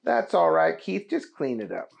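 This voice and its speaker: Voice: medium pitch, wildly swooping pitch, normal speed, gravelly, dull audio, voice pushed up, heavily acted. A storyteller voicing Booger, an eager, good-hearted man who speaks in a raised, earnest voice.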